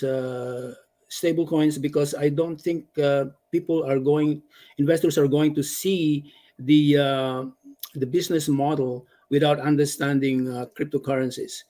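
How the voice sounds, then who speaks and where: Mellow-voiced hesitant founder. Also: male voice, fairly high pitch, fairly even intonation, normal speed. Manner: hesitant, frequent uh fillers. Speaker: startup founder answering questions. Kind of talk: Q and A on a video call. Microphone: video call.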